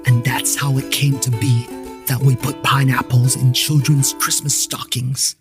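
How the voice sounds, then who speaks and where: Male narrator for a spooky holiday podcast: dramatic scripted storytelling, medium-high pitch, music clearly underneath. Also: flat delivery, measured pace.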